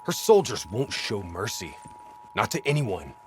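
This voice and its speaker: An actor playing a sceptical special forces soldier in a video game, terse and guarded. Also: male voice, lowish voice, sing-song, a little slow. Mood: wary. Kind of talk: video game cutscene acting. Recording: clear background battle noise.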